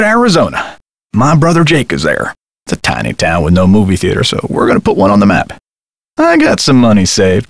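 An actor playing a kindly, folksy old theater projectionist in a video game, with old-fashioned turns of phrase and a husky voice. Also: male voice, medium pitch, no room sound. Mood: wistful, chatty. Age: older. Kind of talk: scripted video game character dialogue.

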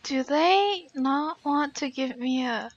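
A woman's voice: high-pitched, animated, slow, dry recording. A young woman live-streaming a game in casual, reactive chat, swearing casually, on slightly dull lo-fi audio.

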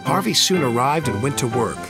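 Animated male storybook narrator over music. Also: medium pitch, brisk. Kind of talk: scripted children's story narration. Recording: music behind the reading.

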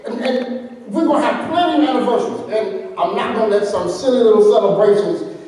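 A man's voice: sits high, a little fast, darkish tone, lightly acted. A man acting in a stage play as a self-righteous, defensive husband and father who preaches scripture. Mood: defensive, indignant. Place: on stage in an auditorium. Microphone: far camera. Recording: distant echoey theater hall recording.

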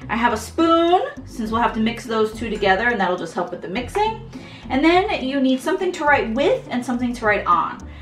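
A woman's voice: fairly high pitch, big pitch swings, fairly quick, fairly bright tone, faint music behind. An American woman in her thirties giving a scripted educational presentation as host, recorded indoors at home. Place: small furnished room at home, indoors.

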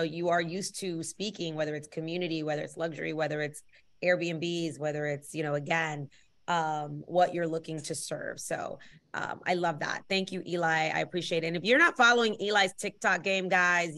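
A woman's voice: fairly low pitch, a bit bright. A woman in her thirties hosting a group video call, enthusiastic and encouraging, dropping in the odd Spanish word. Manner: enthusiastic, peppers speech with Spanish words. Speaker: host. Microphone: video call webcam.

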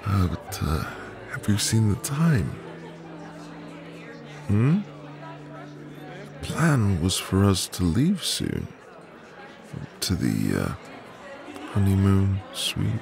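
A man playing a tender, devoted newlywed husband in a soft, intimate, romantic ASMR roleplay with lingering pauses. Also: deep voice, big pitch swings, creaky, a crowd in the background, full character voice. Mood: tender, happy. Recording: music behind the voice. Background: music.

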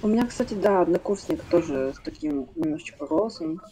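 A woman's voice: lowish voice, animated, fairly quick, mellow, a little hiss or hum. A young woman on casual gaming voice chat, chatting away and acting out her own inner voices as she talks. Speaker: raid player on voice chat.

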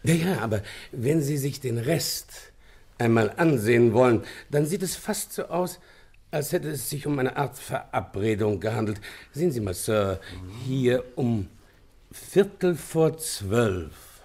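A police inspector in a German detective radio play. His voice is gravelly and a little husky, at a medium pitch. He reports in a polite, factual, official manner. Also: animated, unhurried, a little mellow. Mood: puzzled, eager. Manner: deferential, matter-of-fact.

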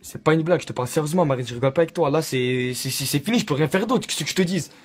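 A young man speaks quickly in a casual, slangy style with a theatrical streak. His voice sits at an average pitch, and he is recorded on a phone held at arm's length indoors. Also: lively intonation, breathy edge. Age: young adult. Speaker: online prankster. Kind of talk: casual prank call vlog. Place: small indoor room.

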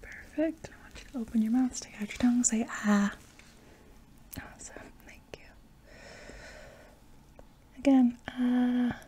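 A young adult woman in a whispered ASMR medical roleplay, breathy and soft, giving reassuring step-by-step instructions up close. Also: a little high, fairly even intonation, a little slow, pauses often. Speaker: ASMR roleplayer playing an anesthesiologist.

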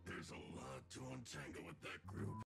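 Smug game villain agent, low and really gravelly, scheming. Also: male voice, expressive, moderate pace, a bit bright, faint music behind, heavily acted. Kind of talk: anime game trailer acting.

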